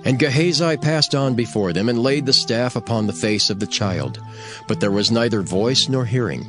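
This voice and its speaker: A man narrating dramatized scripture as a measured, solemn storyteller. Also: medium pitch, lively intonation, music in the background.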